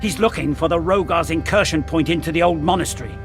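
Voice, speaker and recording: An actor playing a hostile, commanding captain of soldiers who speaks with contempt in a fantasy video game. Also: male voice, on the high side, fast talker, over music, lightly acted. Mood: contemptuous, commanding. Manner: commanding, scornful.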